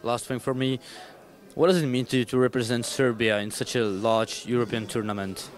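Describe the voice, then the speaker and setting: A man's voice: medium pitch, steady tone, fairly quick. A man working as a sports reporter, putting plain, direct questions to a fighter in English. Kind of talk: interview questions.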